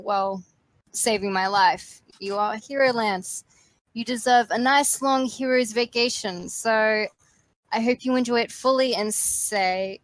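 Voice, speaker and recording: A woman playing a kind, earnest male team leader who speaks gently and sincerely in an amateur dramatic reading. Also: mid-range voice, close to an everyday voice, rough recording.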